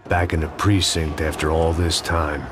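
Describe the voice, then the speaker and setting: An actor playing a world-weary middle-aged American action hero, narrating a game in sardonic, deadpan noir style. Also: male voice, a bass voice, moderate pace. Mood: wry, weary. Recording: loud music behind the voice. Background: loud music.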